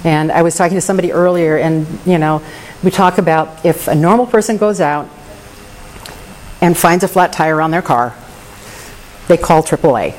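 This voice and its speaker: A woman's voice: sits low, normal speed, pauses often, a little mellow, slight background noise, poor audio. Earnest woman sharing in recovery. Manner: candid, earnest, self-deprecating humor. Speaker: recovery meeting member. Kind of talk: personal recovery share.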